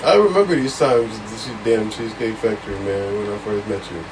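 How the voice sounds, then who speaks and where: A man's voice: fairly low pitch, a little fast, far from the mic, background noise. A man in his late twenties co-hosting a casual podcast, drawling and laid-back. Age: 20s. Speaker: podcast co-host. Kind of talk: casual chat.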